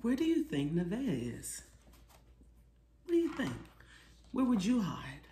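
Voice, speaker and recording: A middle-aged woman reading a children's storybook aloud expressively, pausing to put questions to her young listeners. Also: mid-range voice, wildly swooping pitch, warm tone, slight background noise. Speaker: children's author reading her own book. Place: small living room, seated on a sofa. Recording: roomy indoor echo.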